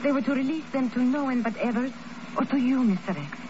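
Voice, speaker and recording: A woman's voice: high voice, fast talker, natural voice. An actress playing an earnest, anxious female ally of a secret agent in 1950s radio drama, with careful, formal phrasing. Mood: explaining. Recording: muffled, noisy old radio recording.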